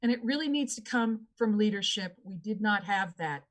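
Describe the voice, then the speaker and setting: A woman's voice: mid-range voice, expressive, moderate pace. A woman answering as a candidate in a debate, making earnest, repetitive appeals to voters.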